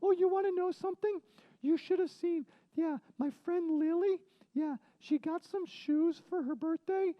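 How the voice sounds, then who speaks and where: A performer voicing an excitable, childlike dog puppet who chats eagerly and playfully as co-host of a children's story show. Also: very high voice, a little slow, dry recording, muffled recording. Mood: chatty, curious. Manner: eager, playful, gushing, with frequent interjections.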